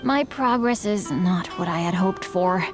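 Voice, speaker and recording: A woman's voice: on the low side, unhurried, over music, close to an everyday voice. A woman voicing a polite young male swordsman and novelist in a video game, with formal, courteous phrasing. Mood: earnest.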